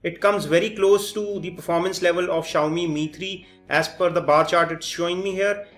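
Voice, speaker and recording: High-pitched man reviewing tech. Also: fairly bright tone. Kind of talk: phone review commentary over demonstration.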